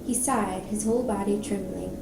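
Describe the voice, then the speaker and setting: A woman narrating a novel excerpt in a soft storytelling style. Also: medium pitch, steady tone, normal speed, gravelly, far from the mic, background noise. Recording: roomy echo, music behind.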